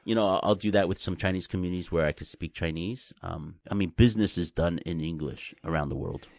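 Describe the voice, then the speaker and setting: Guest, man, very low voice, halting explainer, dry close sound. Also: gravelly, a little mellow, no room sound. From Taiwan. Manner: halting, repeats words, explains simply. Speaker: guest, blockchain company representative. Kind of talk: casual interview chat.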